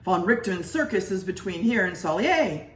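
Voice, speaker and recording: A woman's voice: mid-range voice, sing-song, normal speed, lightly acted. A woman voicing an anxious, deferential male army sergeant in a story, speaking earnestly. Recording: echoey room, distant mic, noise.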